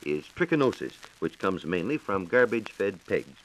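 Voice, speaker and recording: A man's voice: low voice, sing-song, normal speed, rough recording. An American man giving a scripted, formal science talk on 1940s radio, explaining things in a declamatory way. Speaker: science reporter. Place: radio studio. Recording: hissy 1940s radio transcription disc.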